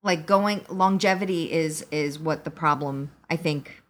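Woman, first language Albanian, lowish voice, relaxed and hesitant podcast co-host. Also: a little slow. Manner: relaxed, hesitant, many fillers. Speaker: podcast co-host reading listener questions. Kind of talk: casual chat.

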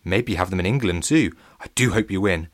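A man's voice: low-pitched, fairly quick, no echo, clean studio sound, close to an everyday voice. A man playing an earnest Russian boy penfriend, speaking quaint broken English in a children's story. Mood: cheerful, earnest.

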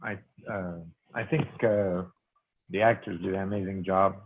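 A man giving casual feedback in a discussion, halting and pausing between short phrases. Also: low-pitched, fairly even intonation, narrow, tinny audio, a little hiss or hum. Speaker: audience member giving feedback.

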